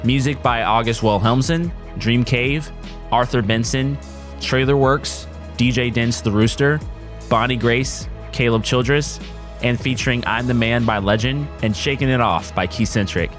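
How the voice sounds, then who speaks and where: A man's voice: medium pitch, unhurried, faint music behind. A man reading scripted podcast credits as an announcer.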